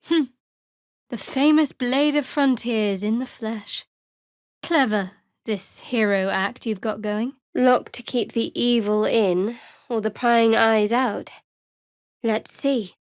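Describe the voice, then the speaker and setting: A woman playing a guarded, sardonic young cleric of a dark goddess in a fantasy video game, speaking in a dry, measured way. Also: fairly high pitch, dry recording. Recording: dry close studio recording.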